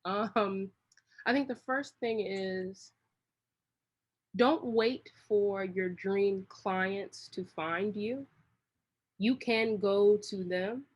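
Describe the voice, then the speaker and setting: A woman's voice: mid-range voice, highs cut off. Casual, long-winded woman in an interview. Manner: long-winded, rambling, slangy. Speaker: interview guest, creative director.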